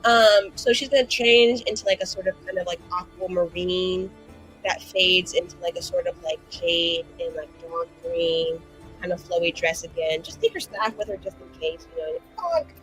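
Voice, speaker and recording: A woman playing a tabletop game, excitably describing her character's actions with swearing and many fillers. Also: lowish voice, normal speed, soft background music, rough recording. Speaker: player.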